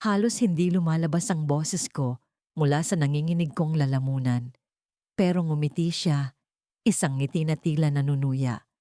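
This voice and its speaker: Dry, low-voiced woman narrating horror. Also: mellow, no echo. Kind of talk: scripted first-person horror narration.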